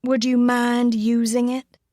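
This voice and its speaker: A spiteful young Southern belle, as a female narrator plays her, scornful and emphatic. Her voice rides high and sneers through each line, like a schemer used to getting her way in an audiobook drama. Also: level tone, slow pace, mellow, no echo. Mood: sneering. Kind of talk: audiobook character acting.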